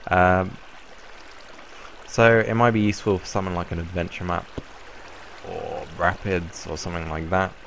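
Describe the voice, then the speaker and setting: A young man with a croaky voice from a cold, casually explaining a game build in a rambling way. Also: sits low, moderate pace, a little hiss or hum. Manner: relaxed, rambling explanation with fillers. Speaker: Minecraft YouTuber. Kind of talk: casual gameplay tutorial.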